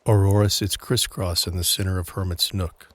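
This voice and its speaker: A man giving measured, suspenseful scripted narration for an audio drama. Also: low voice, little pitch movement, dry recording. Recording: clear background ambient noise.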